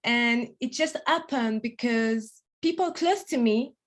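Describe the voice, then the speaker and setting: French-accented young woman, bright and upbeat. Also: fairly high pitch, slow. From France. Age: 20s. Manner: upbeat, laughs often, many fillers like "like". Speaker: podcast guest. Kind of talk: casual interview chat.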